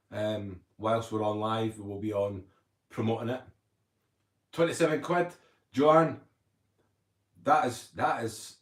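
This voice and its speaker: A man hosting a casual livestream, rambling chattily and reading viewer comments aloud. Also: mid-range voice. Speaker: livestream host. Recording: roomy echo.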